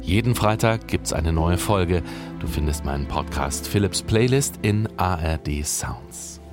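A male radio presenter reading a scripted podcast promo, gently and intimately addressing one listener. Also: low voice, lively intonation, measured pace, over loud music.

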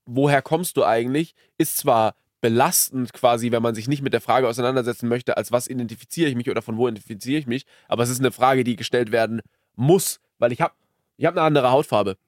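A man's voice: mid-range voice, quick pace, dry recording. Young man in casual, dry-sounding podcast chat. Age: early twenties. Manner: youthful slangy German with English loanwords. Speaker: podcast co-host.

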